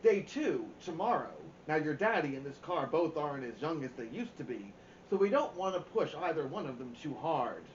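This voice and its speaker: A man voicing a brisk, organized mother who announces road-trip plans with emphasis in a storybook. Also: fairly high pitch, big pitch swings. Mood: organized, upbeat. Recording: roomy, dull, faint background noise.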